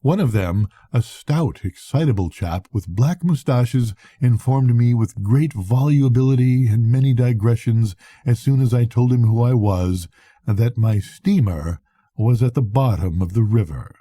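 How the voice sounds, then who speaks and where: An older man reads a classic novel aloud as a storyteller, in a measured, reflective bedtime manner with a soft, husky voice. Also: medium pitch, dry recording. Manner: measured, reflective first-person narration. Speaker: storyteller reading to grandchildren. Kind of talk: expressive bedtime audiobook reading of a classic novel.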